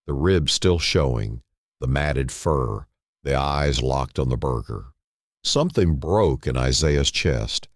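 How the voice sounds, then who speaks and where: A man with an extremely deep voice reads a dramatic story. He takes it slowly and solemnly, parcelling it out in short phrases with pauses between them. The sound is dry, with no room around it. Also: dry recording. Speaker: narrator. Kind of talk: dramatic scripted story narration.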